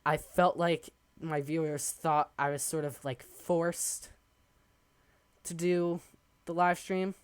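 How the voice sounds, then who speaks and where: Young male streamer, hoarse and raspy, slow rambling chat, dry close sound. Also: fairly high pitch, steady tone, no room sound. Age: young adult. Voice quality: raspy from coughing. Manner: rambling, halting, many ums. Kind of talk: casual live-stream chat while building. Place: home desk.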